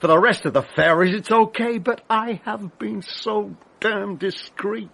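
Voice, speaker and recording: An actor playing a vain, volatile film director cornered as a killer, ranting and menacing in a radio drama. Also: male voice, sits high, measured pace, creaky, fairly bright tone, heavily acted. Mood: furious, anguished. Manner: ranting, swinging from menace to outburst.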